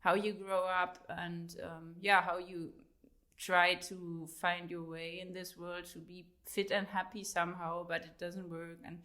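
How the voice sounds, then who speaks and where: A woman's voice: lowish voice, little pitch movement, measured pace, bright, forceful tone. A woman in her late thirties with a German accent, chatting as a guest in an interview. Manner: chatty, many fillers, laughs easily. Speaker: guest author. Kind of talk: casual interview chat.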